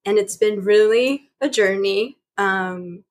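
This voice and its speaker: A woman's voice: mid-range voice, slow. A woman from Chile, a podcast guest chatting casually, laughing often and leaning on filler words. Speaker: guest, spiritual coach.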